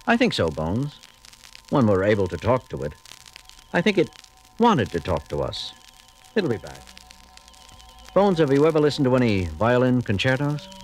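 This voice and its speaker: Starship captain, big swingy dramatic delivery, music behind. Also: male voice, medium pitch, huge pitch swings, poor audio. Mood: reflective. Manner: clipped commands, then slow reflective speech. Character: commanding male starship captain, resolute, reflective.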